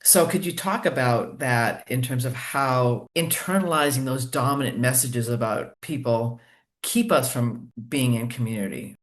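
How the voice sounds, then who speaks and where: A man interviewing an author, asking long, earnest questions with many pauses and restarts. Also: mid-range voice.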